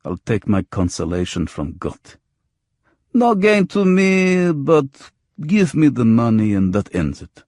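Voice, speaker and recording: A man's voice: medium pitch, sing-song, slow, darkish tone, dry recording, studio quality, pitched above the performer's own voice. A man voicing a pushy, amiable, corrupt cop who wheedles and haggles theatrically in an audiobook. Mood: indignant, haggling. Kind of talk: acted audiobook dialogue.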